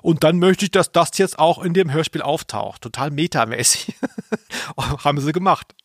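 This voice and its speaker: A man chats as a podcast host, explaining and commenting with amusement. His voice sits a little high, and the close microphone gives a dry sound free of room echo. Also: moderate pace. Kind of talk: conversational commentary on radio plays.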